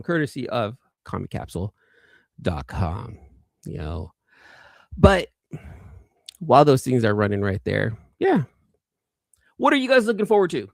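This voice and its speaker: A man's voice: on the low side, vocal fry, no echo, clean studio sound. A man hosting a casual livestream, chatting informally and enthusiastically with viewers and often repeating phrases for emphasis. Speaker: livestream host.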